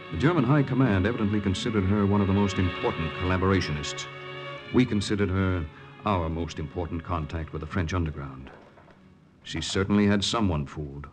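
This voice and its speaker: Low-voiced American secret agent, played by a man. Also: natural voice. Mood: measured narration. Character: cool, cheeky male American secret agent. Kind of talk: 1940s radio acting and first-person narration. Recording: noisy old radio broadcast recording.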